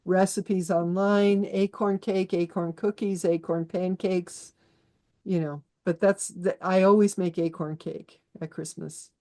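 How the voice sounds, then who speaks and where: A woman's voice: on the low side, steady tone, a little slow, mellow. A woman born in Austria, an author guest chatting in an interview, talking freely with restarts and little laughs. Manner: chatty, many restarts, laughs while explaining. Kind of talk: conversational interview.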